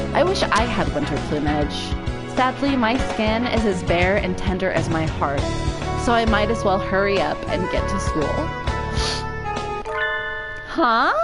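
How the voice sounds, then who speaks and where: A woman giving a spontaneous dramatic reading of a visual novel as a let's play narrator, over game music. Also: mid-range voice. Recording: music playing behind the voice.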